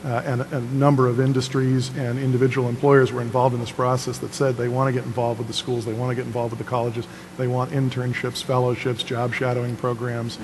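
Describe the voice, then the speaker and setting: A man on a panel giving a brisk, businesslike answer about working with employers. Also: medium pitch, little pitch movement, darkish tone. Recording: echoey hall with faint noise.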